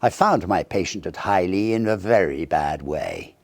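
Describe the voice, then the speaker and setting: Deep, gravelly man reading a ghost story. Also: lively intonation, dry recording. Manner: theatrical, measured storytelling with dramatic pauses. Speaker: audiobook narrator. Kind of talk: dramatic scripted narration of a Victorian ghost story.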